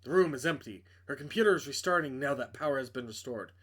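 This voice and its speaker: A man's voice: mid-range voice, expressive, fast, a bit bright, slightly rough. A man reading a visual novel aloud as a dramatic narrator, sometimes stumbling over words. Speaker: reader playing a visual novel.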